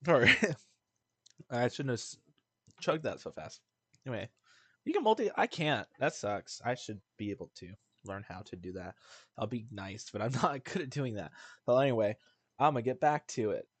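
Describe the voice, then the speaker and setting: A man's voice: medium pitch, big pitch swings, normal speed, husky, no room sound. A man livestreaming a video game, rambling casually as he chats to his viewers. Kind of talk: casual gameplay stream chat.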